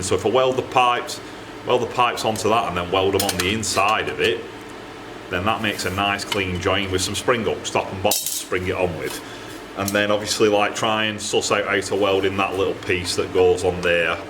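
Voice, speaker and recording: A man's voice: lowish voice, background noise. A man with a Northern English accent rambles casually to camera in a colloquial, self-deprecating way, recorded in a workshop. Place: metalworking workshop, indoors.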